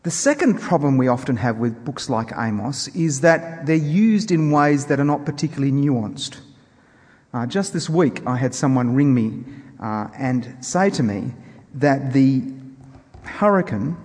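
A man preaching informally to a congregation, explaining in a measured way with emphatic pauses. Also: mid-range voice, darkish tone. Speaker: preacher introducing a Bible reading. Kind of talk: informal sermon introduction to a congregation. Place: church hall before a congregation. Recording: echoey hall with long reverb.

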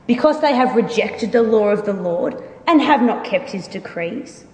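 A woman giving a solemn, measured dramatic reading of scripture. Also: a little high, lively intonation. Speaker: reader in a church dramatic reading. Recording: echoey hall recording with long reverb.

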